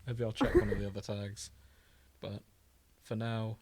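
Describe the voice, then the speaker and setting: A man's voice: lowish voice, level tone, moderate pace, mellow, soft background music. A British man co-hosting a podcast in casual comedic chat, irreverent, rambling and sweary. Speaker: podcast co-host.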